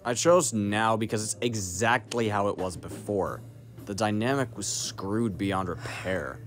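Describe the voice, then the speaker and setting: Low-voiced, deadpan syndicate operative played by a man, music behind. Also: unhurried, lightly acted. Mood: grave, resolute. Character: guarded, battle-hardened male syndicate operative, dry and resolute. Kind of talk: dramatic audio roleplay acting.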